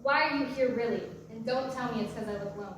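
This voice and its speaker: Woman acting sardonic young divorced mom, higher-pitched, halting, wry, stage play reading, distant mic, faint noise. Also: fairly bright tone, heavy reverb, natural voice. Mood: wry, guarded. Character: guarded, sardonic divorced young mother at a diner. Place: large echoey room, recorded from far off.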